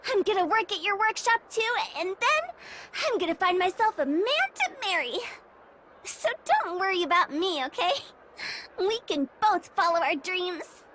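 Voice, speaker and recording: A perky young girl in a video game. Her voice is extremely high and bright, with a bubbly, teasing, sassy way of talking. There is faint noise behind her. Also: expressive, moderate pace. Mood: teasing, confident. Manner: teasing, bubbly, plain-spoken.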